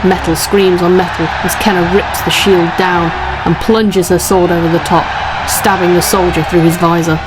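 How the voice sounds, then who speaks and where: A woman's voice: on the low side, little pitch movement, moderate pace, very noisy. A woman reading scripted narration of a fantasy action story as its narrator. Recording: loud music under the voice.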